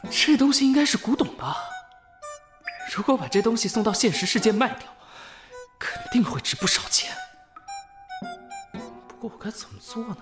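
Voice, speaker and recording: A man playing an intense, scheming young mental patient in an audio drama, muttering his thoughts, often in breathy whispers. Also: extremely high pitch, expressive, normal speed, pauses often, music in the background. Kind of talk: Chinese audio drama acting.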